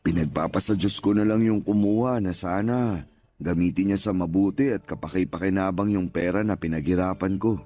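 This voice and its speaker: An actor playing a gentle, devout older male street vendor in a radio drama, humble and reflective. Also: sits low, a little fast, lightly acted. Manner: humble, reflective, plain-spoken. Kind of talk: Tagalog radio drama acting.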